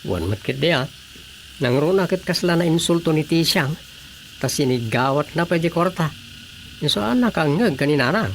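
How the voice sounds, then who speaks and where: A man's voice: fairly high pitch, expressive, normal speed, slight background noise. A man acting in an Ilocano radio drama as a chatty, reflective village man, with an Ilocano Filipino accent. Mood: indignant.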